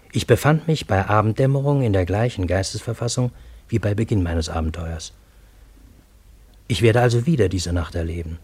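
An actor narrating a radio drama as a troubled Parisian man, with a husky voice and measured, literary, dramatic delivery. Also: on the low side, a little mellow, no room sound. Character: troubled Parisian man recounting his fantastic time-loop adventure.

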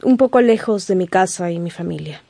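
An actress playing a spirited, guarded young gentlewoman in a radio drama, polite but pointed and direct. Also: fairly low pitch, lively intonation, brisk, gravelly, no room sound. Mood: polite, uneasy.

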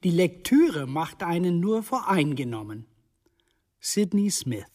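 A woman with a very low, husky voice reads literary quotations for radio. Her pitch moves in a lively way through the scripted lines. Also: takes it slowly. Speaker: reader of quotations.

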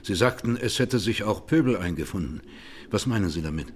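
An actor playing a formal, matter-of-fact judge questioning a witness in a radio courtroom drama. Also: male voice, fairly low pitch, animated, fairly quick, a little hiss or hum, close to an everyday voice. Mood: formal, inquiring.

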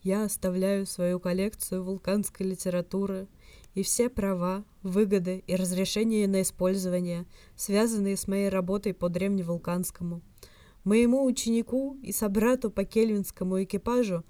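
A woman's voice: mid-range voice, steady tone, dry recording, lightly acted. Woman reading as formal old male scholar hologram, dry delivery. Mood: dryly sly. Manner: formal, measured, soft and relentless. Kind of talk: fan fiction audiobook reading.

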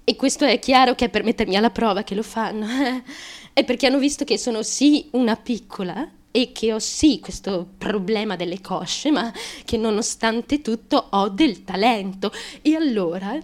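An actress playing an unstable, anguished young woman who craves fame. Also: a little high. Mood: hushed, wounded. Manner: volatile, swinging between hushed confiding and wailing. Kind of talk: theatrical stream-of-consciousness radio monologue.

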